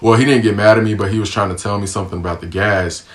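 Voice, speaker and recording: A young man from the United States talks through a casual storytime for his vlog. His voice sits low, and his delivery stays flat and even. He is laid-back and slangy, with plenty of ums along the way. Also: racing. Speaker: vlogger.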